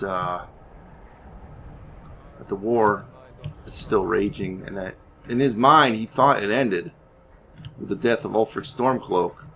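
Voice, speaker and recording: A man's voice: lowish voice, fairly bright tone, a little hiss or hum. A man giving casual, rambling game commentary, thinking aloud hesitantly as he plays. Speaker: gaming YouTuber narrating his playthrough.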